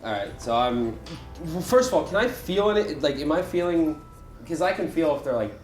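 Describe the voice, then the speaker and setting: A man's voice: a little high, lively intonation, moderate pace. Man at a game table, roomy echo. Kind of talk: casual table talk. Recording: roomy echo with music behind.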